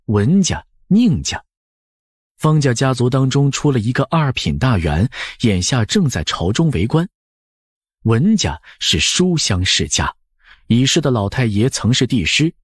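A man narrating an audiobook as expressive scripted storytelling, with a husky voice. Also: mid-range voice, dry recording, studio quality.

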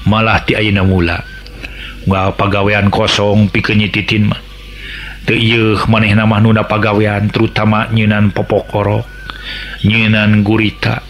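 A middle-aged man with a Sundanese accent narrating a radio folk tale, chatting to listeners in asides. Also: on the low side. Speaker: storyteller.

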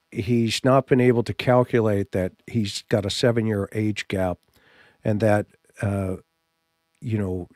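A middle-aged man hosting a livestream, talking to his audience in a halting, gentle way, close to a studio microphone. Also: lowish voice, no echo. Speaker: livestream host. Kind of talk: live commentary to an audience. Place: small indoor home studio. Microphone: studio microphone on boom arm, close.

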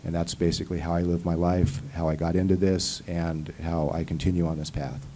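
A man in his thirties talks at a conference panel, his voice deep and mellow. He rambles earnestly with plenty of fillers and restarts, into a handheld mic with a faint hum behind. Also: little pitch movement, a little fast. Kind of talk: casual panel talk. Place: conference hall panel table. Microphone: handheld mic on stand.

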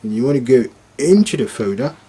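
A man giving an informal, unscripted step-by-step device demonstration, haltingly thinking aloud as he works through it. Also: mid-range voice, animated, dark tone, slight background noise. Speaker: product demonstrator.

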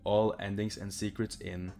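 A man's voice: lowish voice, flat delivery, normal speed, gravelly, dark tone. A young man giving casual, sweary gameplay commentary in an informal way. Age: young adult. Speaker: gaming video creator. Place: small bedroom, indoors.

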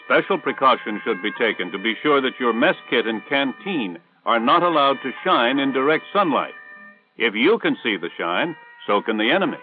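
An American man reading scripted instructional narration for a 1960s military training film in a measured, authoritative way. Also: medium pitch, expressive, soft background music. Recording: tinny band-limited 1960s film soundtrack.

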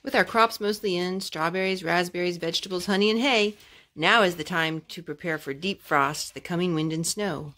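A young woman reading a children's picture book aloud at home, phrase by phrase in a measured, careful way. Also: on the low side, a bit bright. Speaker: storytime host reading to her children. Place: carpeted room at home. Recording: clear background noise and clicks, homemade.

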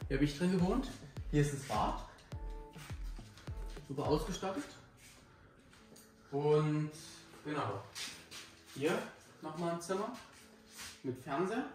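A man's voice: on the high side, lively intonation, slow pace, pauses often, a little mellow. A young man giving a casual house tour in short, estate-agent-like phrases. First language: German. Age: young adult. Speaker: vlog companion showing the accommodation. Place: indoors in a furnished holiday house. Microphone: handheld camera a few steps away. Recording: echoey rooms, off-camera distance, music over it. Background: music.

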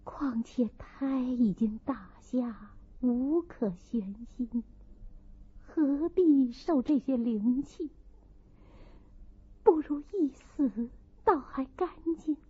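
A woman voicing a gentle, frail young concubine, tearful and resigned, in an audiobook. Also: high voice, drawn-out words, husky, heavily acted. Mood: despairing. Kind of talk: audiobook character acting. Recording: muffled, thin phone-like sound.

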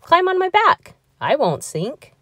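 A woman plays a big jolly hippo from a children's storybook. He is friendly and playful, with hearty exclamations that swing upward in pitch. The sound is dry. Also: huge pitch swings, measured pace, a bit bright, dry recording, full character voice. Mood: cheerful, reassuring.

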